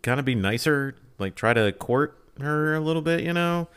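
A man on a podcast panel bantering casually in an informal, expressive way. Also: a little high, normal speed.

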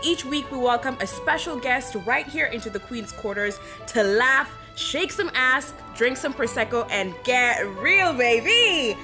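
A woman's voice: sits high, expressive, a little slow, hardly any pauses, over music. A woman hosting a podcast in a playful, effusive, sassy way, chatting casually with lots of slang. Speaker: podcast host.

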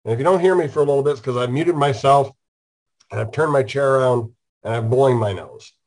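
A man's voice: medium pitch, fast talker, darkish tone. A man hosting a casual online talk show while stuffed up with a cold, joking and rambling through his stories. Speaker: host.

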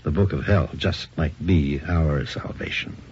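An actor playing a cynical, money-hungry book publisher in a radio drama, brusque and sardonic. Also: male voice, very deep voice, measured pace, a little mellow. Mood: brusque, scheming. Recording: noisy, muffled old radio drama recording.

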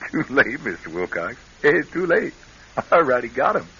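An actor in an American radio drama playing a sly, needling schemer who talks in folksy, ungrammatical teasing. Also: male voice, mid-range voice, big pitch swings, unhurried, creaky, pushed and bright, full character voice. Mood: sly, insistent. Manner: drawling folksy bad grammar, teasing. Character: sly, folksy, needling male schemer angling for stolen money. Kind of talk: classic radio drama acting. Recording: hissy, muffled old radio recording.

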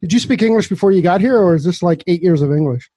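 A man's voice: sits high, lively intonation, fast talker, mellow, dry recording. A man co-hosting a podcast, laid-back and joking, with lots of fillers. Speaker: podcast co-host. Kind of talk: casual podcast chat.

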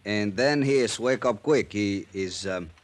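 An actor playing an eager Mexican police captain who speaks halting broken English with a Spanish accent, in a 1950s radio drama. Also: male voice, lowish voice, close to an everyday voice.

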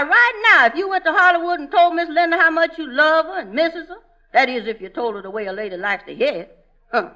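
Brief: high, bright-voiced scolding housekeeper, plain-spoken, dull old radio sound. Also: female voice. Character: bossy, folksy female housekeeper who scolds her boss. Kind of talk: 1940s radio acting.

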